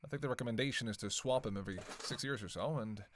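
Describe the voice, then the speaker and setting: A man's voice: medium pitch, quick pace, a little hiss or hum, close to an everyday voice. A man playing a sarcastic, teasing vampire in a casual roleplay monologue, needling playfully and hesitating as he goes. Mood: casual, evasive. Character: sarcastic, teasing male vampire on a road trip.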